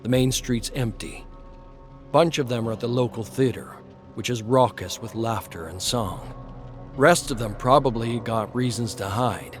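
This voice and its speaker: A man narrating a dramatic western story in a terse, brooding way with weighted pauses. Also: mid-range voice, faint music behind.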